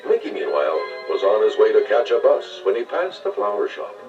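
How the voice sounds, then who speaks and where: Guy narrating kids read-along, expressive and lively, bit muffled, music under him. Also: on the low side, a little fast, distant mic. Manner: expressive storytelling. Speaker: storybook narrator.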